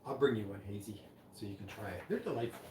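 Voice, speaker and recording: A man's voice: fairly low pitch, fast, background noise. A man hosting a live stream, chatting in a rambling, casual way about drinks. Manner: rambling, chatty, many restarts. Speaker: livestream host mixing drinks. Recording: echoey room, voice from far off.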